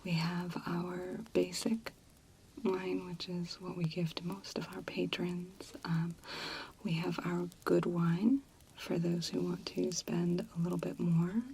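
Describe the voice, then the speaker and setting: Woman playing a soft-spoken, gentle tavern server. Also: fairly low pitch, little pitch movement, slow, vocal fry, a little hiss or hum. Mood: gentle, welcoming. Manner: gentle, hushed, confiding. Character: friendly, confiding female medieval fantasy tavern server. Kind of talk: soft-spoken ASMR role play.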